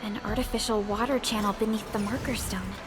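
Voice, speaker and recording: A woman's voice: medium pitch, steady tone, fairly quick, lightly acted. An actress playing a polite, studious young woman, thoughtful and inquisitive, in a scripted video game. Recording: game music behind the voice. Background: game music.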